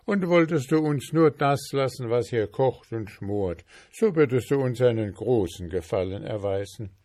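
A man's voice: medium pitch, animated, moderate pace, darkish tone, no room sound, clean studio sound, voice pushed up, close to an everyday voice. A man voicing a clever, polite young goldsmith journeyman in a storybook reading, coaxing and bold. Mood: pleading. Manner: pleading, then demanding.

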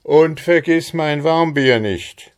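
Give me a man playing a sleepy old farmer in a storybook, gruff and drawling, pitched high. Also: takes it slowly.

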